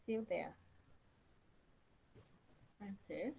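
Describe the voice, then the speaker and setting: A woman's voice: mid-range voice, drawn-out words, creaky, warm tone, breathy edge, rough recording. A woman lecturer teaching an online class over a laptop, repeating key phrases and questions to her students. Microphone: laptop on a video call.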